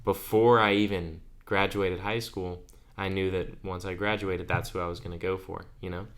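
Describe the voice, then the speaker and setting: Deep-voiced young man, chatty and laughing. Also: level tone, normal speed. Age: under 20. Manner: chatty, laughs while telling stories. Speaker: podcast co-host. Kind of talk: casual chat.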